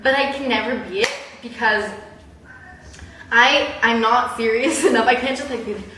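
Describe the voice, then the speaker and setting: A young woman chatting casually in a personal vlog, with a bubbly, animated, giggly way of exaggerating things. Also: fairly high pitch, normal speed. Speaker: vlogger. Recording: roomy echo, distant mic, background hiss.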